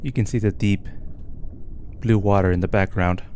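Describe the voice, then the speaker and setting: A man's voice: lowish voice, fairly even intonation, moderate pace, a little mellow, a little hiss or hum. A man giving casual, blunt, sweary commentary as he reacts to a game. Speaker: gamer reacting to a narrated game.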